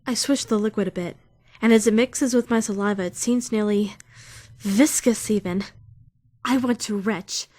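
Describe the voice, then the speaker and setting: A woman's voice: mid-range voice, normal speed, darkish tone, no room sound, close to an everyday voice. A woman playing a prim, bookish young student who is easily indignant, in a fan-dubbed visual novel with formal, theatrical delivery. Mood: disgusted. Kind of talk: first-person visual novel fan dub narration and dialogue. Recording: music behind the voice.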